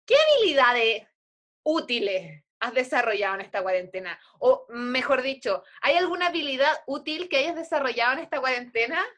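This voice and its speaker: A woman's voice: sits high, sing-song, normal speed, a bit bright. A Chilean woman co-hosting a podcast in casual chat, slangy and joking, laughing often. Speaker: podcast co-host.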